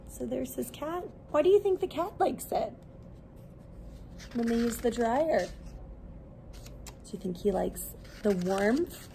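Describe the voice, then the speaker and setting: A woman preschool teacher reading a children's book aloud expressively, with simple, deliberate questions for young listeners. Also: fairly high pitch, gravelly, a little hiss or hum, poor audio.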